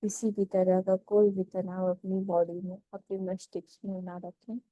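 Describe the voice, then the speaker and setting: Female meditation guide. Soft-edged dark tone, very steady pitch, calm and unhurried delivery. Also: no echo, highs cut off. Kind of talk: slow guided meditation instruction.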